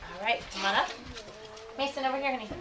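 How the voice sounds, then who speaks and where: A woman teaching elementary pupils in a classroom, asking questions and repeating answers deliberately with encouraging endearments. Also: fairly high pitch. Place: classroom with children. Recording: distant mic, clearly audible room noise.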